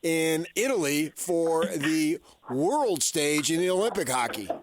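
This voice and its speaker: An American man hosting a sports radio show, giving opinions in an animated storytelling way. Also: fairly high pitch, measured pace, a crowd in the background.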